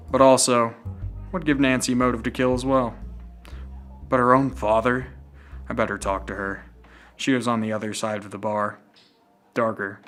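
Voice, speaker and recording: A man's voice: lowish voice, a bit bright, lightly acted. A man playing a blunt, suspicious police detective in a noir radio drama. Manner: hard-boiled, interrogating. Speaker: student voice actor. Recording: amateur radio drama with music underneath. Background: music.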